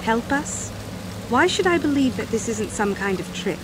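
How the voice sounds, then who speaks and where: An actress playing a young, guarded, earnest runaway student in a video game, over loud ambient noise. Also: female voice, a little high, very animated, normal speed. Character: wary, defiant young female runaway student. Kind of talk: video game character acting.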